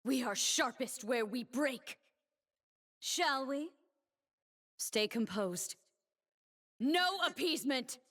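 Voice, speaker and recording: An actress voicing a proud, resolute young warrior woman in a video game, solemn and commanding, with measured delivery. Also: high voice, lively intonation, a bit bright, full character voice. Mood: defiant, commanding. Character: proud, resolute young woman warrior, blade dancer defending her homeland. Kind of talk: game champion voice lines and trailer narration. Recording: reverberant game audio over music.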